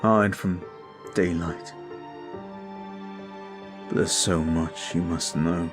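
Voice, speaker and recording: A man voicing a brooding male vampire who is weary and guilt-ridden, talking slowly and softly in an ASMR roleplay. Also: sits low, fairly even intonation. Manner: slow, soft, confiding. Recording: faint music behind.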